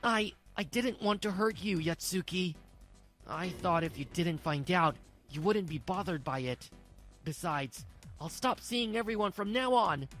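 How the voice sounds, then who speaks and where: A woman plays a self-pitying young husband in a manga dub, pitched low for a woman. He is evasive and whiny, stammering as he pleads. Music plays softly underneath. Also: animated, unhurried. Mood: flustered excuses. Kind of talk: dubbed manga voice acting.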